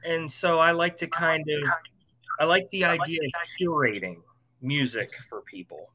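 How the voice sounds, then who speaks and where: A man's voice: a little high, a little slow, bright, forceful tone, poor audio. A man with an American accent talking casually as a musician being interviewed.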